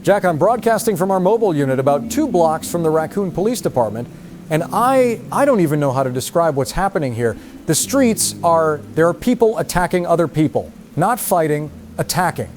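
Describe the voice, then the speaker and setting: A man playing a shaken field reporter giving a halting, alarmed live report. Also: on the high side, lively intonation, darkish tone, a little hiss or hum, natural voice. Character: shaken male field reporter witnessing horror. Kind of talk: dramatized live news report.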